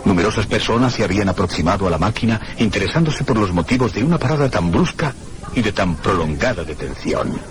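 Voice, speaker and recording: An actor playing a reflective gentleman who narrates a mystery in a measured, literary radio drama style. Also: lowish voice, animated, slight background noise, close to an everyday voice. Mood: reflective, grave. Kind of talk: scripted first-person radio drama narration.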